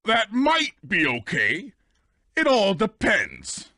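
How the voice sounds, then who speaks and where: A man voicing a boisterous, childlike tough guy in a video game, excitable and showy. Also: high voice, slow, no room sound, studio quality, full character voice. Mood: eager, boastful. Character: boisterous, boastful, childlike male bruiser of a thief gang.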